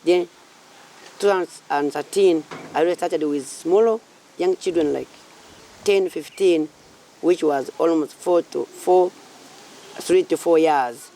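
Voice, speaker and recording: Creaky, mellow Ugandan man telling his story. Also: a little high, a little hiss or hum. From southwestern Uganda. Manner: halting, repetitive phrasing. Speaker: community project founder and church minister. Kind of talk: informal interview answers about own life.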